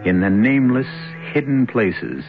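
A man hosting a 1970s radio mystery drama with ominous, deliberate, theatrical scripted narration. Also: mid-range voice, very animated. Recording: narrow 1970s radio recording with music.

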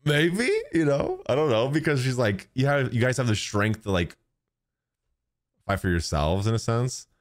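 A young man hosting a casual game trailer reaction, excitable and slangy, on a close microphone. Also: medium pitch, normal speed. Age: young adult. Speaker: YouTube reaction host and streamer. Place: small home streaming room. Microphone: close boom-arm microphone.

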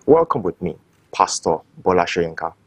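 Husky-voiced male studio host. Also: deep voice, very animated, normal speed, dry recording. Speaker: program host and interviewer. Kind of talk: scripted studio interview introduction.

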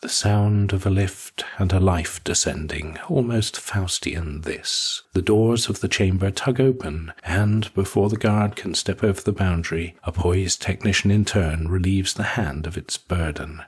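A man narrating a scripted literary short story in a husky voice. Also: low voice, measured pace, few pauses.

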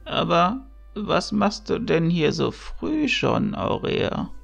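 Very creaky, jovial old priest. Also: male voice, a little high, big pitch swings, a bit bright, faint music behind, exaggerated voice. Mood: curious, gentle. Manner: jovial, chatty, drawn-out musing. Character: cheerful elderly village priest, kindly and talkative. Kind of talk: audio drama acting.

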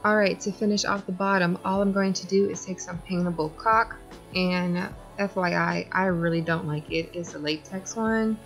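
Low-voiced woman explaining a DIY build. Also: steady tone, normal speed, soft background music, rough recording. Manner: plain step-by-step explaining. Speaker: DIY vlogger. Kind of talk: casual how-to narration. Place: small closet in a house.

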